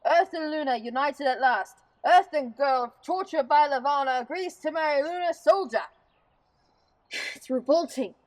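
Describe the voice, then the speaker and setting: A woman's voice: sits high, normal speed, close to an everyday voice, rough recording. A woman playing a feisty, blunt young farm woman and bride-to-be in an audio drama, forthright and sardonic. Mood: indignant.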